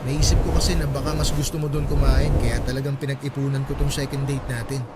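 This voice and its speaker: An actor playing an eager, sentimental young provincial man in a radio drama, talking in animated, colloquial banter. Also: medium pitch, fast, very noisy, natural voice. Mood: apologetic. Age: young adult. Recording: loud music bed under dialogue.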